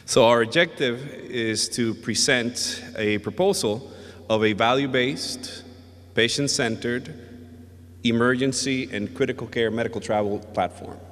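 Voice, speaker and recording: A man from the Dominican Republic giving a conference presentation, speaking in a measured, hesitant way with many filler sounds. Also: fairly low pitch. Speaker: invited conference speaker, emergency physician. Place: hotel ballroom at a summit. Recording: long reverb of a large ballroom.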